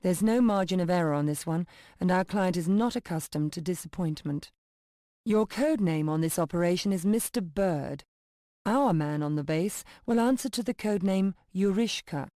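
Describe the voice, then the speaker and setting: Low-voiced, composed female agency handler acted out. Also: dark tone, no echo, studio quality, close to an everyday voice. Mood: composed, briefing. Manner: formal, measured, businesslike briefing. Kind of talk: video game mission briefing.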